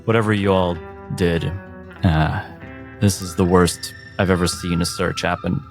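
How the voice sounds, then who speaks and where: A man's voice: low voice, little pitch movement, measured pace, faint music behind. A man voicing a weary, grudging tavern owner in a tabletop game, terse and matter-of-fact.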